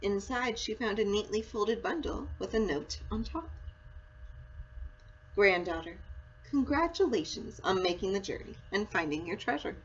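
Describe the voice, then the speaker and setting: A woman reading a children's storybook aloud in an expressive, dramatic and deliberate way. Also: mid-range voice. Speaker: story reader, school read-aloud. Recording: dull lo-fi sound with faint noise.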